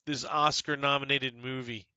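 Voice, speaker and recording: A man's voice: medium pitch, fairly even intonation, normal speed, bright, forceful tone, clean tone, dry recording. A man streaming a golf video game, chatting casually with his viewers and talking to them by name. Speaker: gaming streamer. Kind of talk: casual commentary over gameplay.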